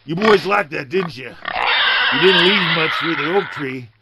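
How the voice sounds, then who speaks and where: An actor playing a big, selfish, murderous farmer in a horror radio play, muttering menacingly to himself and bursting into shouts. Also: male voice, fairly high pitch, unhurried, heavy background noise, close to an everyday voice.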